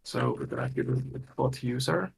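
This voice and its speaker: Gravelly, creaky-voiced man demonstrating software. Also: medium pitch, wildly swooping pitch, normal speed. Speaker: workshop instructor. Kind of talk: live software demonstration, thinking aloud.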